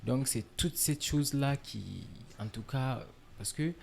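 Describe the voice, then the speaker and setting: A man from Niger, a musician guest in a casual interview, relaxed and filler-heavy, dropping in English words. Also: mid-range voice, takes it slowly, vocal fry, a little hiss or hum.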